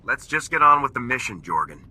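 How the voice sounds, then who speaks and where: An actor playing a determined, no-nonsense military lieutenant in a video game, direct and businesslike. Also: male voice, mid-range voice, very animated, fairly quick, sharp tone, slightly rough, exaggerated voice. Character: determined, no-nonsense male soldier, a squad-leading lieutenant. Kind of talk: video game character acting. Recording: game dialogue over music.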